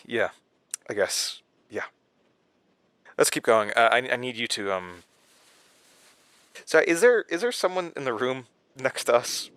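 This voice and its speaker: A man playing a troubled, weary, paranoid man in a horror audio drama, with halting, distracted speech. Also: mid-range voice, animated, a bit bright, smooth, no room sound. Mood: uneasy, paranoid. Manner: halting, distracted, tense stammer. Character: troubled, jittery male radio host, weary and paranoid.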